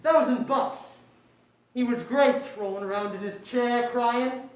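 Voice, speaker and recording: A woman's voice: fairly high pitch, unhurried, darkish tone, close to an everyday voice. A woman playing a callous, cocky crooked gangster in a noir crime play on stage. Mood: callous, smug. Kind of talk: 1940s noir stage acting. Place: indoor stage, distant microphone. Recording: very echoey stage, far from microphone.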